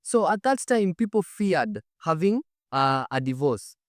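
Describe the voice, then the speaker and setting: A man's voice: a little high, very animated, a little slow, dry recording, clean studio sound. A man with a Kenyan accent hosting as a storyteller, conversational and emphatic, repeating phrases and throwing out rhetorical questions. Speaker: host, storyteller. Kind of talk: animated conversational storytelling to camera. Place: studio set.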